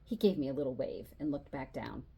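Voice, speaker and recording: A woman reading a children's novel aloud as an expressive storyteller, narrating in the voice of a boy and acting out the dialogue. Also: rumbling low, brisk, vocal fry, breathy edge. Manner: animated, acts out dialogue.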